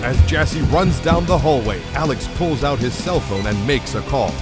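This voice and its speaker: A man's voice: mid-range voice, animated, normal speed. A man narrating a scripted action drama as its storyteller. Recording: very loud music behind the voice. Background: very loud music.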